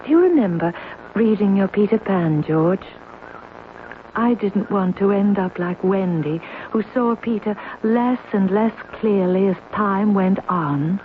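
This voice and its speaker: A woman's voice: medium pitch, a little slow, natural voice. An actress playing a composed, earnest married woman living under a borrowed identity in a radio drama. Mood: earnest, defensive. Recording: narrowband old radio, faint noise.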